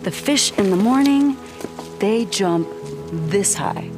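An American actress playing a frank, no-nonsense woman survivor with a gentle side in a video game. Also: mid-range voice, lively intonation, slow, over music. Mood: gentle coaxing. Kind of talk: naturalistic cinematic game acting.

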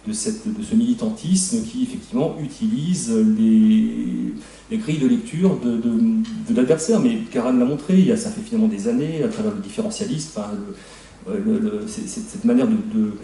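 A middle-aged man, a historian, speaking on a debate panel in a halting way, with many fillers and restarts. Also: mid-range voice, gravelly, dark tone, slight background noise. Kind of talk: spontaneous panel talk. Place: conference room, seated at a panel table. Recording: echoey room, mic far off.

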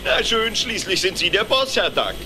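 High, goofy duck pilot. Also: male voice, sing-song, moderate pace, noisy background. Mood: carefree, breezy. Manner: cheerful, breezy, bumbling. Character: carefree goofy male duck pilot, cartoon sidekick. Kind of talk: German cartoon radio play acting.

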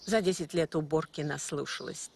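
A woman voicing a stern, exacting ballet teacher in an animated film, curt and dryly critical. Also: low voice, moderate pace, vocal fry. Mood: scathing.

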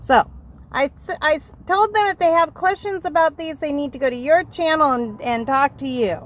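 A middle-aged woman vlogging in casual chat from inside a truck, playful and quick to laugh. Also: high-pitched. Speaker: vlog host. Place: inside a parked pickup truck.

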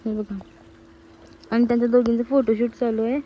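High-voiced young woman vlogging outdoors. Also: flat delivery, brisk, lo-fi sound. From Maharashtra, India. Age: young adult. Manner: chatty, mixes in English words. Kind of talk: casual vlog narration to camera, chatting with friends. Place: outdoors on hillside trails and farm grounds. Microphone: phone at arm's length. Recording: noisy outdoor phone recording.

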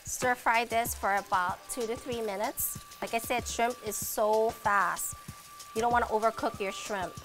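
A woman's voice: fairly high pitch, fairly even intonation, measured pace. Upbeat woman hosting a cooking show. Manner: upbeat, chatty, encouraging. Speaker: cooking show host and restaurant owner. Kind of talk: cooking demonstration. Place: home kitchen, indoors. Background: background music and sizzling pan.

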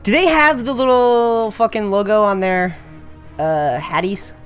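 A man's voice: high-pitched, a little slow, a bit bright, smooth, soft background music. A young man co-hosting a game playthrough, talking casually with lots of fillers and swearing. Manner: rambling, swears freely, many fillers. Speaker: let's play co-host. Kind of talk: casual chat over gameplay.

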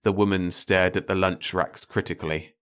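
A man's voice: deep voice, fairly even intonation, moderate pace, a bit bright, clear, resonant tone. A man narrating a short story as a scripted audiobook.

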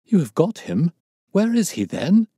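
A man voicing an irascible, blustering older gentleman colonel in an audiobook, indignant and emphatic. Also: medium pitch, huge pitch swings, normal speed, husky, no room sound, clean studio sound, voice pushed up. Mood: demanding. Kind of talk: audiobook character dialogue.

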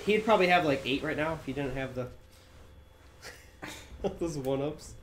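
A young adult co-hosting a co-op video game session, teasing the partner with shouty exclamations and squeals. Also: mid-range voice, normal speed, slight background noise. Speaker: gaming channel co-host. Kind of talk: playful co-op gameplay commentary.

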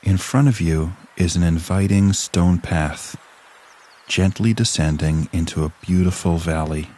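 Very deep, slow male relaxation guide. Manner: calm, soothing, measured with long pauses. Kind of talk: scripted guided relaxation narration.

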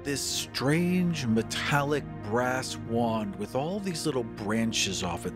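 A man tells his part of a tabletop roleplaying game slowly and descriptively. His mid-pitched voice sits over clearly audible music. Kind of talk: descriptive, deliberate storytelling.

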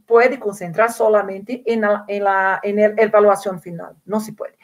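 Brazilian-accented woman, slightly high voice. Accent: Brazilian Portuguese. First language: Portuguese. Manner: earnest, repeats words while searching for Spanish. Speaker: invited speaker. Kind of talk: online conference talk, answering questions. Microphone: video call.

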